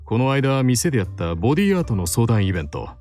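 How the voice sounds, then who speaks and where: A man's voice: mid-range voice, big pitch swings, very fast, a little mellow, faint music behind. A male actor playing a friendly, polite bar owner in a Japanese visual novel, with a courteous conversational manner. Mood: friendly, businesslike.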